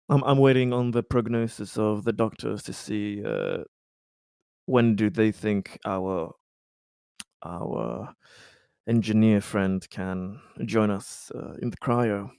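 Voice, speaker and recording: A man playing a tabletop role-playing game, talking casually in character and hesitantly repeating words as he deliberates. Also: fairly low pitch, gravelly, mellow, clear, resonant tone, dry recording, studio quality.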